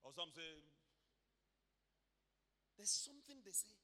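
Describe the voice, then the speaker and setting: Man, pastor, energetic sermon, sharp bright tone, acts out voices. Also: sits high, slow. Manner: swings from quiet asides to shouted exclamations, acts out quoted voices. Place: church hall.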